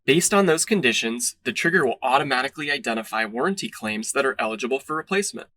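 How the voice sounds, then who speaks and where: A man's voice: mid-range voice, expressive, fast, pushed and bright. A man reading a scripted product demo narration in a polished, instructional way.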